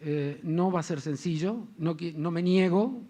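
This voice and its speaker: A man's voice: fairly high pitch, unhurried, warm tone. A man speaking as a panelist at a formal panel, hesitant, with frequent fillers.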